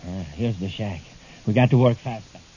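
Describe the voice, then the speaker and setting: A man's voice: on the low side, fairly quick, close to an everyday voice. A man playing a confident, coldly menacing space villain in a 1950s radio serial, plotting in a sly, assured manner. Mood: scheming. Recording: muffled old radio recording, background noise.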